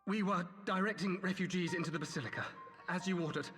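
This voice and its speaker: A man's voice: sits high, quick pace, fairly bright tone, big-room sound, soft background music. A man playing an anxious, dutiful soldier in a video game, urgently and deferentially reporting to his lord. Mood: shaken, urgent.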